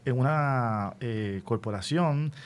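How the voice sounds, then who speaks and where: A man's voice: medium pitch, slow. A man conducting a conversational radio interview, speaking in an encouraging, explanatory manner.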